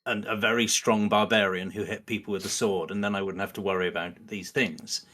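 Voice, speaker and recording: A man's voice: lowish voice, fairly even intonation, brisk, pushed and bright. A man speaking as a podcast guest in a casual, analytical discussion, often rephrasing himself as he thinks aloud.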